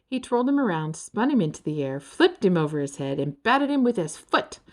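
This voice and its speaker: A woman narrating a children's storybook in an expressive, dramatic storytelling way. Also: lowish voice, fairly quick. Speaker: audiobook narrator.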